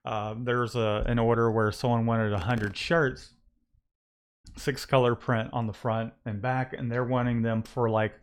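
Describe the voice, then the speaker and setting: A man's voice: medium pitch, little pitch movement, a little slow, clean tone. Rambling man hosting a shop livestream. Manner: rambling, with many restarts and fillers. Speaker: host and screen-print shop owner. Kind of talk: casual livestream chat.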